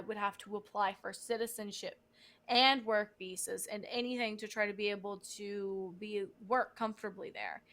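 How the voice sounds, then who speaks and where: A woman's voice: on the high side, pushed and bright. A young woman from the United States hosting a livestream, chatting in a playful, rambling way. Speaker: livestream host. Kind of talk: casual livestream chat.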